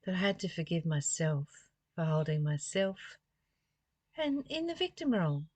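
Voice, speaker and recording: A woman's voice: fairly low pitch, big pitch swings, normal speed, darkish tone, no room sound. A woman reading spiritual text aloud and musing on it informally, in a reflective way with rhetorical questions. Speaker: spiritual study reader and commentator.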